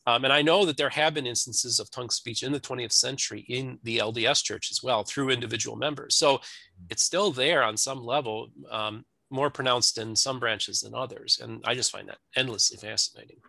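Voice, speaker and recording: A man's voice: medium pitch, a little fast, creaky, bright, forceful tone, dry recording, rough recording. A man hosting an interview, talking in a casual, conversational style. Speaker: interviewer, host.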